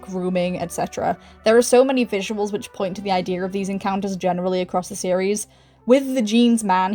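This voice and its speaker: A woman with a medium-pitched voice narrates a video essay over music. She reads from a script but keeps it informal and chatty, slipping in casual, self-deprecating asides like a channel host talking to viewers. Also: a little mellow.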